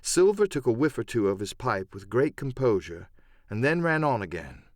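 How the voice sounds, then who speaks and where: A man reading dramatic first-person adventure narration for an audiobook, measured and literary. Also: medium pitch, big pitch swings, dry recording, studio quality.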